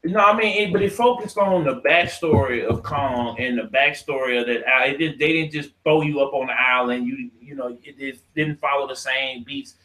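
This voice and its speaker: A man's voice: medium pitch, normal speed, hardly any pauses, bright, forceful tone, poor audio. An American man hosting a podcast, casually debating in a profane, slangy way, cutting in and repeating himself for emphasis. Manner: profane, slangy, argumentative, interrupting. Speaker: podcast host. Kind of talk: casual debate about movies and games.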